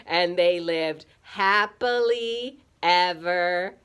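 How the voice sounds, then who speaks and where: A woman telling a children's puppet-show story in a dramatic, expressive way that can drop into a hush. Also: lowish voice, drawn-out words, clean tone. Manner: dramatic, expressive, drops into hushed whispers.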